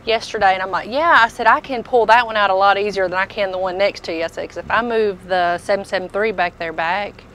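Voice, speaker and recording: A woman's voice: medium pitch, quick pace, few pauses, fairly bright tone, low-quality recording. Woman chatting over background noise. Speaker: tool truck dealer showing products. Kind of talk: casual product walk-through chat. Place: inside a packed tool truck.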